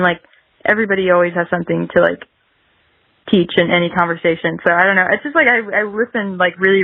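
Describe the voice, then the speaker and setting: A woman's voice: lowish voice, no echo. A young woman talking casually as an interview guest, rambling and peppering her sentences with "like". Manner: rambling, dense with filler "like". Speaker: podcast guest, singer-songwriter. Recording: dull lo-fi radio-like line.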